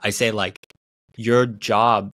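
A man in his thirties talks on a video call, slightly low-pitched and animated, tumbling through restarts and fillers as he explains his ideas. The sound is that of a plain home call mic. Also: takes it slowly. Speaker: guest author. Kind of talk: casual podcast conversation.

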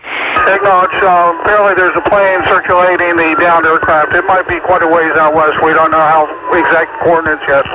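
Heavy noise and a muffled radio channel surround a high-pitched male voice. He is an emergency commander passing instructions to his units over two-way radio. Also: flat delivery, fairly quick. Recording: dull, very noisy radio dispatch tape.

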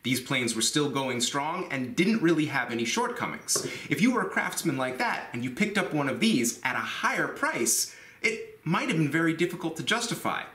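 A man's voice: on the high side, sing-song, normal speed, pushed and bright, echoey room. A man in his thirties hosting a woodworking video, talking emphatically and candidly in a small workshop. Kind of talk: instructional voice-over and talking to camera.

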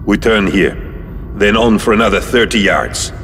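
Male actor as battle-scarred space warrior, very low husky voice, grim, terse, distrustful, audio drama, long reverb. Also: moderate pace, noisy background, natural voice. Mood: terse, resolute. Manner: terse, stubborn, grave.

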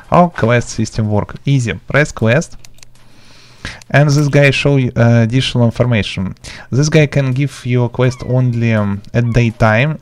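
A man, speaking in halting, simple step-by-step instructions as he hosts an informal video game tutorial. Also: mid-range voice. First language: not English. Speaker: gaming tutorial host. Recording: music behind the voice. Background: background music.

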